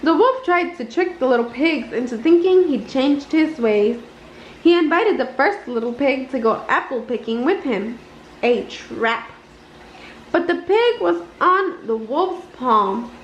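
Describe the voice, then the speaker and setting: A woman reading a children's picture book aloud with an expressive, deliberate storytelling delivery in an indoor room. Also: high-pitched. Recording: roomy, dull home recording with noise.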